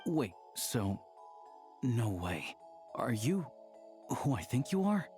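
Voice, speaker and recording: A man's voice: mid-range voice, big pitch swings, slow pace, a little mellow, faint music behind. An actor playing an easygoing, worldly young man in a video game, talking in a conversational, confiding way. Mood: astonished. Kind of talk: video game dialogue acting.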